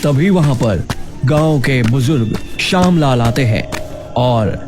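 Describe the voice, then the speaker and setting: Male narrator for cartoon story, slow, lively, suspenseful, music bed. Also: medium pitch.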